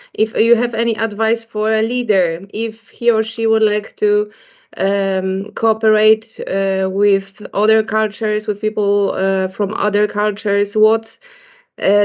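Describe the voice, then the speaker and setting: Woman asking a question at an online conference, polite, some uhs, dull muffled audio. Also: mid-range voice. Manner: polite, with frequent fillers.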